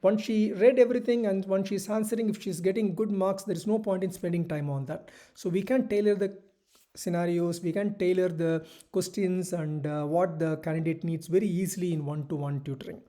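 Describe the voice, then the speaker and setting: Doctor tutor explaining exam prep, warm low-key tone, kind of halting restarts. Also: fairly even intonation. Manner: measured, explanatory, with hesitant restarts. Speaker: doctor tutoring for medical entrance exams. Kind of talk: online course presentation.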